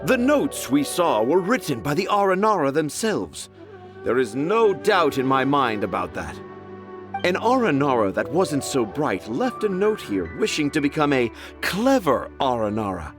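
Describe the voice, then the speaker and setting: A man's voice: mid-range voice, big pitch swings, measured pace, music in the background. A man playing a self-assured young storyteller in a video game, florid and theatrical in manner. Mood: confident. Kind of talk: video game character acting.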